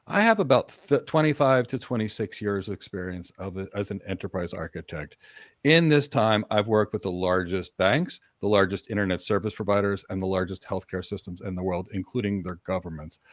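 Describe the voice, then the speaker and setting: A man hosting a live-stream career talk, acting out mock job interview answers in a lively, demonstrative way. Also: deep voice, brisk, no echo, clean studio sound. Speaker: host.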